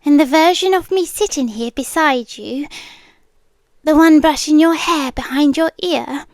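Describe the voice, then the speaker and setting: Woman doing a possessive ancient siren gf, british, high soft voice that slips into whispers, asmr roleplay, super close dry mic. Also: no echo. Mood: pleading, possessive. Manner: slow, hushed, dropping often into whispers. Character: centuries-old possessive siren girlfriend, tender yet menacing. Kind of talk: yandere girlfriend ASMR roleplay. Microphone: close, dry microphone.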